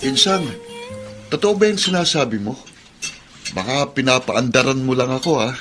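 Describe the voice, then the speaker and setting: A man's voice: mid-range voice, moderate pace, fairly bright tone, faint music behind, close to an everyday voice. Man acting teasing, thoughtful older cousin. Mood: teasing, curious. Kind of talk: Filipino radio drama acting.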